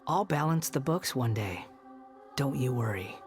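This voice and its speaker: A man's voice: mid-range voice, lively intonation, measured pace. An actor voicing a bitter, proud young male former villain in a video game, with a dry, aloof manner. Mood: aloof, determined. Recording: background music under voice.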